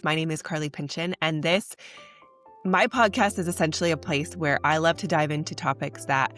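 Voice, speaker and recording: A young woman hosting a spiritual podcast, talking in an earnest, chatty way, congested from a cold. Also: sits low. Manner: earnest, chatty, says "like" often. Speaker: podcast host. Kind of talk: casual spiritual podcast monologue.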